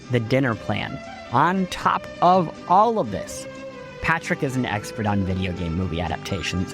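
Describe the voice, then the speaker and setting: A man hosting a podcast, reading scripted narration in an articulate, emphatic way. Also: medium pitch. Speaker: podcast host.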